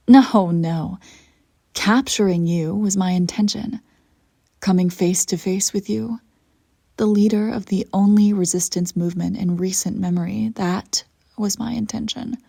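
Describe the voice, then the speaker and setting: A woman playing an imperious evil empress in an audio roleplay, coolly seductive and menacing, with theatrical, deliberate phrasing. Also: medium pitch, vocal fry, warm tone, slightly rough, no room sound, studio quality. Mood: soft, seductive. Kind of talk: scripted audio roleplay acting.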